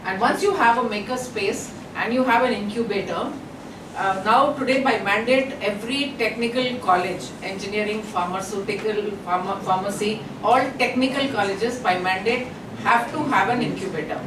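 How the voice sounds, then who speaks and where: A middle-aged woman giving a lively guest lecture to college students, chatty and engaging, often asking the audience questions. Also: medium pitch, moderate pace. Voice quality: loud voice. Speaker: invited guest speaker. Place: college hall. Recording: echoey hall, far from mic, noisy.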